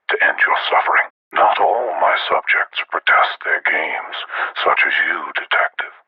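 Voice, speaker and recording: An actor playing a sinister, calm, moralizing killer in a video game, speaking slowly and deliberately with gravelly menace. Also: male voice, highs cut off. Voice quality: gravelly, very deep. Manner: slow, deliberate, menacing, measured. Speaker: villain taunting his captives with instructions. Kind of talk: scripted video game villain monologue.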